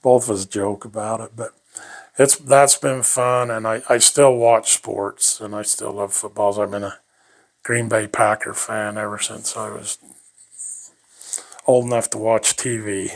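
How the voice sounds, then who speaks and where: An older man with a fairly low voice tells his life story in a rambling, folksy way. He drifts between thoughts and chuckles easily as he goes. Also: little pitch movement, unhurried, gravelly. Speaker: interviewee.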